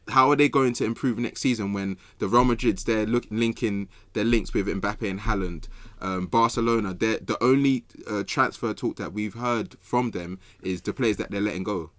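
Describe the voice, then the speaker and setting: A man's voice: lowish voice, moderate pace, no echo. A man, arguing his point in casual, colloquial podcast chat and checking that he is followed. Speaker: football podcast panelist.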